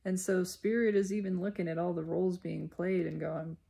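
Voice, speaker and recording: Woman, husky and creaky, reflective spiritual monologue, deliberate short phrases, frequent pauses. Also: lowish voice, flat delivery, mellow. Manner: deliberate, pausing mid-sentence in short phrases. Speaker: spiritual self-reflection vlogger.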